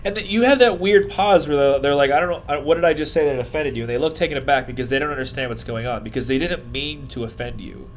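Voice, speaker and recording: A man co-hosting a casual panel discussion, speaking in an informal, rambling, conversational way. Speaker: podcast co-host. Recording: noisy room recording with low rumble.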